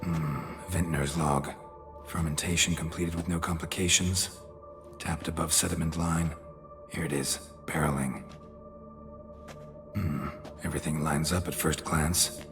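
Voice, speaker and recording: An actor playing a terse, unflappable monster hunter, laconic and deadpan, in video game dialogue. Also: male voice, rumbling low, measured pace, pauses often, over music. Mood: dry, inquisitive.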